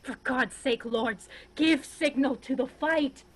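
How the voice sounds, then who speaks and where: A woman acts a crookbacked young nobleman bent on revenge in a Shakespearean play. The pitch is high and the pace drawn out. Every phrase of verse is seething and emphatic. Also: slow, fairly bright tone, full character voice. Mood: seething rage. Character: Richard, venomous vengeful young crookbacked nobleman. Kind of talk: Shakespearean staged reading. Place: remote reading over a video call.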